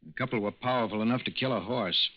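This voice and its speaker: A man's voice: on the low side, fast talker, slight background noise, close to an everyday voice. Man acting an earnest, muffled radio agent. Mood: businesslike, curious. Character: earnest male FBI agent, inquisitive partner. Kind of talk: 1940s radio drama acting. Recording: muffled 1940s radio recording.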